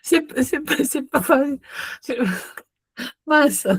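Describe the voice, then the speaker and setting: A woman's voice: high-pitched, drawn-out words, gravelly, no echo. A woman in an online study group who stammers and apologizes as she offers corrections, laughing between phrases. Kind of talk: casual video-call chat.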